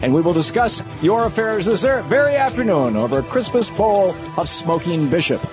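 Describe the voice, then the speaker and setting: A man voicing an old male miser boss, gruff, then loud and jovial, as he reads a storybook aloud. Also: moderate pace, over music. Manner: booming, excited exclamations. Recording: dull, dry radio studio sound.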